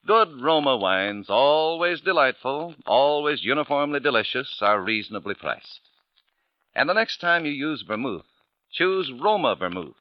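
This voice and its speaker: A man's voice: mid-range voice, dry recording. A man with an American accent reading a scripted 1940s radio commercial as the sponsor's polished announcer. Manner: polished salesman's delivery. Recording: dull vintage radio recording.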